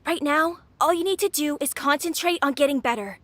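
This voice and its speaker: A woman's voice: high voice, fairly quick, a bit bright, no room sound. A woman voicing an eager young girl in a video game, earnest and reassuring. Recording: game audio with faint music.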